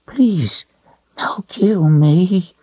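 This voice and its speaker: A man's voice: extremely slow, husky, no room sound, muffled recording, exaggerated voice. A man voicing a cringing, hairless creature that whines, hisses and pleads in broken speech, in a storybook reading. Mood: pleading. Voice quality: shrill whining, broken speech. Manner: whimpering, pleading, hissing. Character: cringing hairless creature, sly, whiny, grasping.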